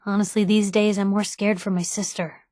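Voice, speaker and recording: A woman's voice: mid-range voice, flat delivery, brisk, mellow, no room sound, clean studio sound, lightly acted. A woman playing a spirited, sardonic young reporter in a post-apocalyptic video game. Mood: worried. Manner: sardonic, teasing, plucky. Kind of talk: video game companion dialogue.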